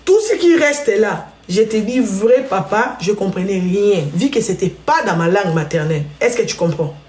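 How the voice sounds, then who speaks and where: A woman's voice: medium pitch, lively intonation, normal speed, darkish tone, reverberant, a little hiss or hum. A Congolese woman hosting a live stream, chatting and telling stories straight to her viewers. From Congo (DRC). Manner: chatty, storytelling, addresses viewers directly. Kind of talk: casual live-stream chat.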